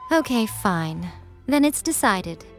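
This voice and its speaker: A woman's voice: medium pitch, big pitch swings, slow pace. A woman playing a sly, aloof fox shrine maiden in an English video game dub, wry, teasing and theatrical. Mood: smooth, assured. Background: music.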